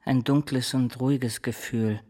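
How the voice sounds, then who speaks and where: An actor playing a devoted, dark, quiet killer henchman in a radio crime drama, answering tersely and obediently. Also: mid-range voice, fairly even intonation, unhurried, slightly rough.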